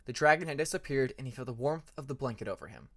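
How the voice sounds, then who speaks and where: A man's voice: medium pitch, animated, fast, dry recording, clean studio sound. A man reading scripted fantasy fiction as an audiobook narrator, in an earnest, dramatic storytelling manner.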